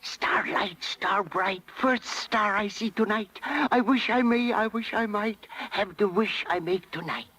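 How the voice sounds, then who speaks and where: An actor playing a kindly, dreamy old woodcarver, doting and musing, in 1930s radio style. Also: male voice, sits high, unhurried, few pauses, bright, forceful tone, slightly rough, heavily acted. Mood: tender, wistful. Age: older. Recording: vintage radio broadcast, music behind.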